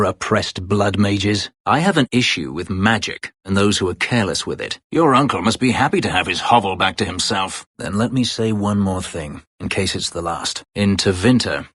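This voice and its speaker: An actor voicing a brooding, bitter escaped slave, an elf warrior in a fantasy game, with a husky, gravelly voice and a terse, guarded, formal manner. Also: male voice, deep voice, moderate pace, few pauses, a bit bright, dry recording, clean studio sound. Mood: somber, sincere. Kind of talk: dramatic fantasy game voice acting.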